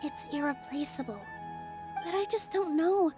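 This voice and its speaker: A woman voicing a gentle young female dancer in a game, soft, hesitant and earnest. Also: high-pitched, moderate pace, loud music behind the voice, natural voice. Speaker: theater dancer. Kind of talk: game voice acting.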